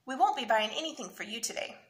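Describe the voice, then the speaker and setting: A woman voicing a patient, gentle storybook mother who reasons kindly with her young boy. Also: on the high side, lively intonation, quick pace, natural voice. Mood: patient, firm. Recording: roomy echo, faint noise.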